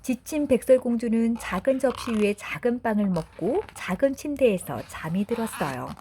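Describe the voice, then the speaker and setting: Animated woman storyteller, fairly high voice. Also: a little hiss or hum. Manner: gentle, deliberate storytelling for children. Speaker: storyteller mother. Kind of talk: expressive bedtime fairy-tale narration.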